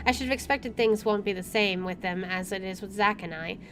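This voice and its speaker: A woman's voice: mid-range voice, a little fast, fairly bright tone, a little hiss or hum. A woman doing a let's play, reading story text aloud expressively with informal asides. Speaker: gaming YouTuber. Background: game music.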